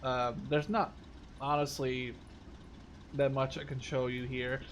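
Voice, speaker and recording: A man's voice: mid-range voice, flat delivery, slow, slight background noise. A man in his twenties from the Netherlands giving casual, unscripted game commentary, rambling and thinking aloud. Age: 20s. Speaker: gaming YouTuber.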